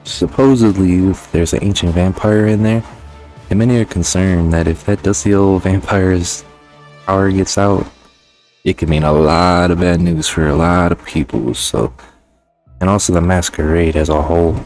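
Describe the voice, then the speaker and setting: A man's voice: sits low, moderate pace, a little mellow, faint music behind. A man giving a casual, conversational video game review voice-over in slangy, sometimes profane language.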